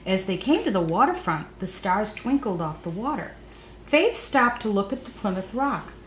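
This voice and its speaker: An older woman reads a storybook aloud to children and comments as she goes. Her voice sits at a middle pitch. The room is echoey, with faint noise in the background. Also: big pitch swings, moderate pace. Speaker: author and storyteller.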